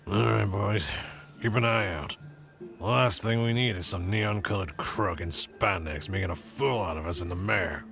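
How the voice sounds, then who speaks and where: A man acting a gravelly, gruff police commissioner. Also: fairly low pitch, normal speed, a bit bright, faint music behind. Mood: gruff, wary. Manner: gruff, commanding. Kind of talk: audio drama acting.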